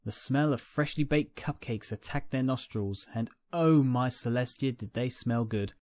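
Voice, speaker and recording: A man's voice: medium pitch, moderate pace, dry recording, studio quality. A man narrating a scripted fan fiction story.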